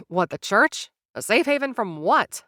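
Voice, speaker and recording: A woman's voice: mid-range voice, big pitch swings, a little slow, fairly bright tone, no room sound, studio quality. A young woman voicing a sarcastic, nervous influencer who narrates her story straight to the listener in an audio drama.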